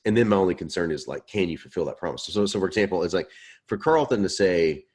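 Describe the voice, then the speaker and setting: Deep male teacher, loose storytelling delivery, laughs easily. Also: animated, fast talker, darkish tone, husky. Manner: chatty, self-deprecating, laughs often. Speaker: instructor. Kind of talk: online class Q&A.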